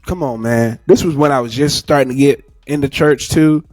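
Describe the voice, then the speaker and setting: A man's voice: medium pitch, normal speed, a little mellow, no room sound, soft background music. A man co-hosting a reaction talk show, giving earnest advice and trading playful banter. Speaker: podcast co-host. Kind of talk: casual reaction chat.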